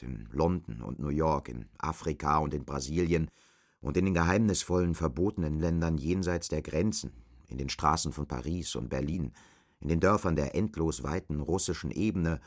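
Deep-voiced male literary narrator. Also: normal speed. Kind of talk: scripted literary narration for radio drama.

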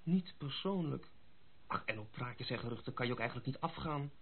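An actor playing a wry, cautioning gentleman friend in a Dutch radio play, speaking in measured, formal, old-fashioned phrases. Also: mid-range voice, lively intonation, husky. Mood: reluctant, cautioning. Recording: muffled, phone-like old radio recording.

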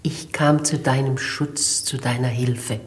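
A woman's voice: extremely low pitch, fairly even intonation. Dignified, earnest elderly noblewoman. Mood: gentle, reassuring. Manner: earnest, deliberate, theatrical. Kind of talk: 1950s German radio play acting.

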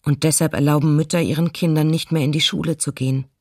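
An actress playing a sober, reflective woman writer of thirty-seven, reading her diary in a radio docudrama with a husky voice. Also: low voice, fairly even intonation, fast talker, a little mellow, dry recording, clean studio sound. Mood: concerned. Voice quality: husky, creaky.

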